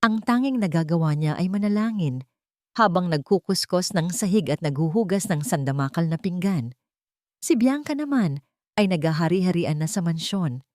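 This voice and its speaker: Lower-pitched woman narrating drama with lively intonation. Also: moderate pace, no echo, studio quality. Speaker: story narrator.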